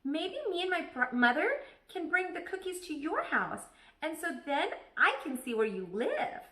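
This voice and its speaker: A woman voicing an excitable, nosy, stubborn kindergarten girl from a children's storybook, blurting things out emphatically. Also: high-pitched, unhurried, voice pushed up, full character voice. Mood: eager, nosy. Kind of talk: children's storybook read-aloud. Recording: echoey room.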